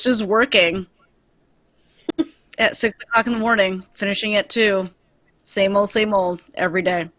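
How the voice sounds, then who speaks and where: A woman chatting casually with friends on a livestream call, wry and deadpan about her own news. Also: medium pitch, dry recording. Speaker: cast member. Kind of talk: casual chat.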